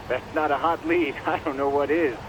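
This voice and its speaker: A man's voice: on the high side, steady tone, unhurried, background noise, lightly acted. A man playing an eager, self-satisfied tech geek in a video game dub. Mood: smug, eager. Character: eager, geeky male tech-savvy informant.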